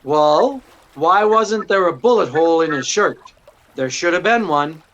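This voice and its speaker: An actor playing a witty, self-assured detective, explaining things in an unruffled, dryly courteous way in 1940s radio drama style. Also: male voice, a little high, lively intonation, unhurried, a bit bright, lo-fi sound. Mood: deducing. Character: witty, self-assured male amateur detective laying out his deduction.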